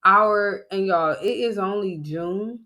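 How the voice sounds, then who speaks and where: A woman in her twenties co-hosting a podcast in casual chat, animated and often laughing. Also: medium pitch, slow pace. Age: 20s. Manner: animated, addresses listeners as "y'all", laughs often. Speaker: podcast co-host.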